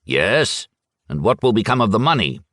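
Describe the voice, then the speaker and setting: A man's voice: fairly low pitch, big pitch swings, fairly bright tone, no room sound, studio quality, pitched above the performer's own voice. A man reading an audiobook and voicing a hot-tempered, scornful village husband who sneers and snaps impatiently. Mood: angry. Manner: impatient, sneering and quick to anger. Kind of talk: audiobook character voice.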